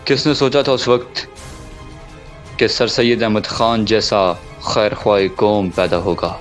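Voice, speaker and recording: A man's voice: lowish voice, measured pace, soft background music, close to an everyday voice. A man playing a solemn, rousing elder leader. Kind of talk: Urdu audio drama acting.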